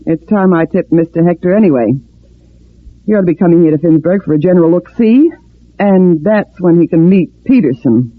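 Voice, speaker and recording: An actress playing a gracious hostess wife who is quietly conspiring, in an old-time radio drama. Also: female voice, lowish voice, lively intonation, brisk, lightly acted. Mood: calculating. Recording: thin phone-like vintage radio recording.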